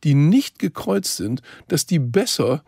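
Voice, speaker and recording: A man's voice: a little high, big pitch swings, unhurried, gravelly, a little mellow, breathy edge, no echo, studio quality. A man co-hosting a radio programme, talking about food in an enthusiastic, effusive, conversational way. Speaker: radio co-host and food reporter.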